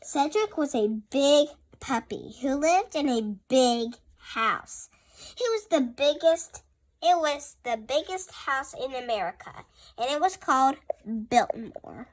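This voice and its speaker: High-voiced young girl reading slowly. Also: animated. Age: child. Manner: careful, halting word-by-word reading. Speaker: young host reading picture books aloud. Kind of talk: storybook read-aloud.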